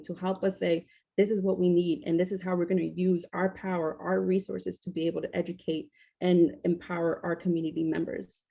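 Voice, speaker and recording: A woman's voice: lowish voice, creaky, dark tone. A woman from Washington, D.C. speaking as a panelist, hesitant, with many fillers and restarts. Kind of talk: panel discussion, advocating.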